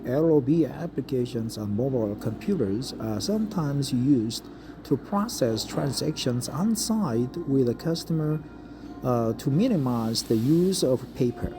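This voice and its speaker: Man, Korean accent, middle-aged, hesitant and halting, lots of uh, mid-pitched voice, steady background noise. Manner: hesitant, frequent uh fillers, pauses to savor phrases. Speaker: English learner reading aloud. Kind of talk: self-study reading practice with commentary.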